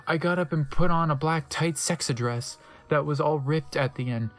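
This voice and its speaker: A narrator giving a theatrical, comic dramatic reading of fan fiction, breaking off for deadpan asides. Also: medium pitch, normal speed.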